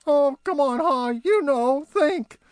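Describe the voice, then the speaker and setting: A man voicing an excitable young male elf with a high giggly voice who stammers and repeats words. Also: takes it slowly, no echo. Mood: giggly, teasing.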